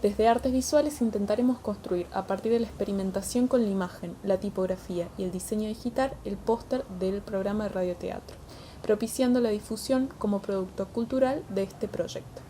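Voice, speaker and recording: A woman's voice: medium pitch, brisk, warm tone, a little hiss or hum. A woman giving a scripted presentation as an art teacher. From Argentina.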